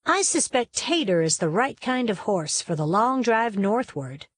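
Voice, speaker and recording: A woman's voice: medium pitch, very animated, a little slow, dry recording. A woman voicing a plucky, capable young cowgirl in a storybook, with a folksy, confident, plain-spoken manner. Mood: confident, matter-of-fact.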